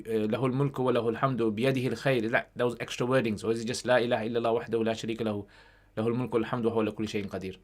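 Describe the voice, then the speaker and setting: A man with a mid-pitched voice hosts an informal livestream question session. He reads out questions and answers them in a conversational way, dropping Arabic phrases into his casual talk.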